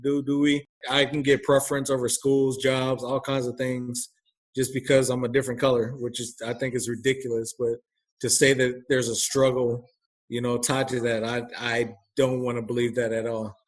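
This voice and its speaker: A man's voice: medium pitch, flat delivery, moderate pace. Blunt, candid man in casual conversation. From grew up overseas in a military family. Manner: candid, blunt, frequent restarts and "you know". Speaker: guest. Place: lives in Texas.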